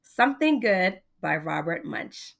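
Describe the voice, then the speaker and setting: A woman reading a picture book aloud like a teacher, in animated storytelling style, speaking directly to young listeners. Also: fairly low pitch, measured pace, gravelly. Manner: addresses child listeners directly, with questions.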